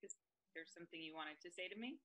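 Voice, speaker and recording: An actress playing an imperious businesswoman in a comedy table read, curt, deadpan and dismissive. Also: lowish voice, fast, poor audio. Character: imperious, cutting businesswoman sister. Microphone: video call.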